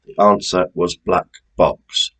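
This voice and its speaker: A man hosting a quiz, reading out questions and answers in a deliberate, measured way with informal asides. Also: low voice, fairly even intonation, a bit bright. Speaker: quiz host.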